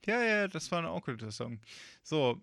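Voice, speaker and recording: A man's voice: fairly high pitch, very animated, normal speed, vocal fry, fairly bright tone. A man hosting a casual podcast, rambling and joking with lots of fillers. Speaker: podcast host. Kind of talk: casual chat.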